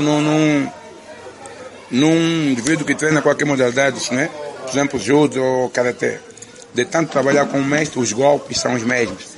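A man with an Angolan Portuguese accent, a musician answering interview questions in an earnest, reflective way, restarting phrases often. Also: medium pitch. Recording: crowd noise behind.

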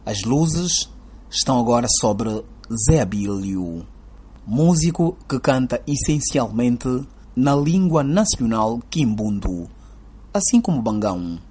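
A man reading scripted news narration in a measured, solemn way. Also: mid-range voice, animated, dark tone, slightly rough.

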